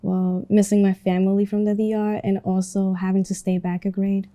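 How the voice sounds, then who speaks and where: A teenage girl from the Dominican Republic giving plain, measured answers to a classmate. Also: mid-range voice, flat delivery, mellow, no room sound. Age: teens. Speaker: student interviewee.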